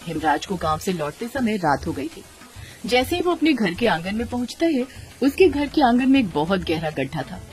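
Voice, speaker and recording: A woman narrating a scripted children's story as a storyteller. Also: medium pitch.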